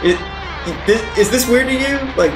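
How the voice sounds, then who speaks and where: A man's voice: extremely high pitch, animated, moderate pace. A man playing in a tabletop roleplaying game, improvising casually in a dry, offhand way. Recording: roomy sound under loud guitar music.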